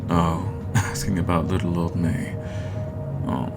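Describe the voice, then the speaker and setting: Man playing a deep-voiced, flirty god of love. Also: steady tone, slow. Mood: coy, flirty. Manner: teasing, coaxing, conversational. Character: flirty, playful male god of love posing as matchmaker. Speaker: matchmaker. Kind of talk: intimate roleplay ASMR acting. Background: music.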